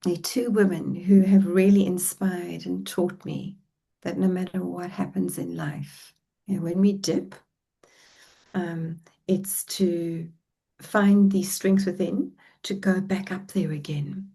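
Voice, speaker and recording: Woman, South African accent, husky voice, gravelly, heartfelt podcast guest. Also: fairly low pitch, flat delivery, slow pace, mellow. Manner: reflective, heartfelt storytelling with many fillers. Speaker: relationship coach guest. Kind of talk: podcast interview.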